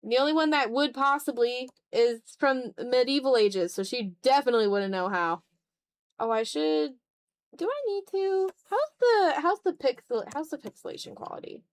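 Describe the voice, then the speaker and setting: A woman's voice: high voice, expressive, normal speed. A woman livestreamer chatting casually while drawing, thinking aloud in fragments with a self-deprecating manner. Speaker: art livestreamer.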